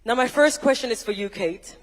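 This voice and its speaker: Event moderator, mid pitch; hypes the room, then poses formal questions; big hall echo. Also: a little fast. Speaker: panel moderator and emcee. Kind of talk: event hosting and panel questions. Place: large university hall, on stage. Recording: reverberant large hall.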